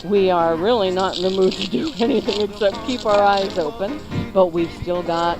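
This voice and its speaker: A woman's voice: mid-range voice, normal speed, poor audio. A woman hosting a live morning radio show with a theatrical, playful announcer's delivery. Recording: loud music behind the voice. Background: music.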